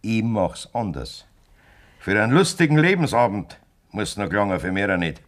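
A domineering Bavarian old farmer. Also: male voice, lowish voice, measured pace. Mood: cold-blooded. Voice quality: blunt, self-assured and lively in tone. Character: domineering aging male farmer, scheming and blunt. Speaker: farmer in a radio play.

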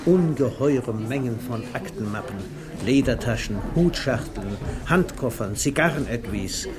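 A man narrating a literary 1950s radio play in a measured, deliberate, storytelling way. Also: mid-range voice, expressive, a crowd in the background. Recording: faintly noisy vintage radio recording.

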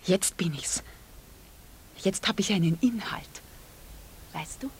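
A woman's voice: medium pitch, slow pace, slight background noise, lightly acted. An actress playing a demure, devoted and naive young small-town woman in a radio play, hesitant and often half-whispering.

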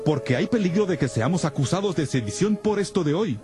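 An actor playing an authoritative town clerk who reasons with an unruly mob in declamatory audio Bible style. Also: male voice, fairly high pitch, animated, a little fast, music in the background, natural voice. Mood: firm, admonishing. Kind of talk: dramatized audio Bible acting.

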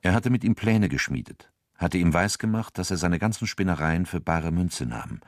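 A man's voice: low-pitched, expressive, normal speed, dry recording, clean studio sound. A man narrating a crime radio drama from a script as its storyteller.

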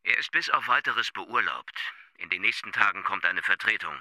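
An actor playing a condescending, sardonic East German state prosecutor in a radio drama, giving clipped, officious orders. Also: male voice, fairly low pitch, animated, moderate pace, pushed and bright, no echo.

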